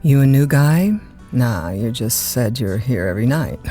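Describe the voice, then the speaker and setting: An actress playing a chatty, easygoing overnight radio disc jockey in an audio drama, with a folksy, informal manner. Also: female voice, very deep voice, expressive, normal speed, warm tone, faint music behind. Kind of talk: naturalistic audio drama acting.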